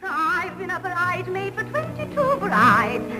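A woman's voice: very high voice, wildly swooping pitch, a little slow. A woman singing a comic music-hall song. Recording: song with loud music behind.

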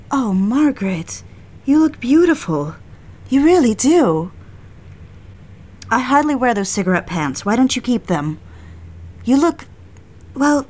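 Actress as genteel, high-voiced young society woman. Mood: admiring, eager. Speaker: lead character and narrator. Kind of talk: audio drama acting with first-person narration.

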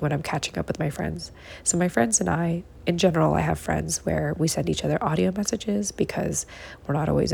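Female podcast host, hushed morning voice, husky and very creaky, casual encouraging advice. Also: low voice. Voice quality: quiet, hushed early-morning voice. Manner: encouraging, conversational, repeats phrases for emphasis. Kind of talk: casual solo podcast talk giving productivity tips. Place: living room at home.

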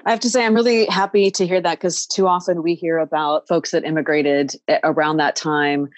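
Podcast host lady, low-ish voice, friendly, lots of ums and laughs, dry sound. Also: fairly even intonation, brisk, dry recording. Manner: friendly, laughs easily, filler-heavy. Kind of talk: casual interview hosting.

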